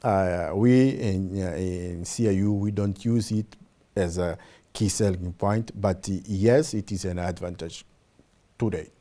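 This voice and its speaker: A man whose first language is Portuguese, answering interview questions in English, hesitant with many pauses and fillers. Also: low voice, mellow. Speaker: citizenship program representative.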